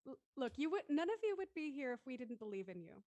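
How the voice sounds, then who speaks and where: A woman's voice: sits high, big pitch swings, quick pace, mellow. A woman hosting an online cast discussion, introducing people in an encouraging way. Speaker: host introducing cast members. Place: online group video call.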